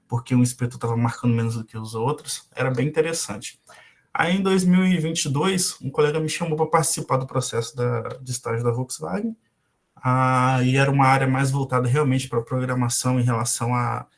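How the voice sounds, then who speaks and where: Brief: young adult Brazilian man, guest lecturer on a video call, medium pitch, hesitant and full of fillers. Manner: hesitant, frequent filler "é" and restarts. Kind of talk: casual online lecture.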